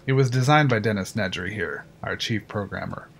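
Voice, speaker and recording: Guy doing a blunt park engineer, lowish voice, matter-of-fact, music low behind. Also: lively intonation, vocal fry, a bit bright, a little hiss or hum. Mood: explaining. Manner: brisk, matter-of-fact, a little cursing. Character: male park chief engineer, confident and blunt. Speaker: voice actor. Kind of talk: fan audio drama acting.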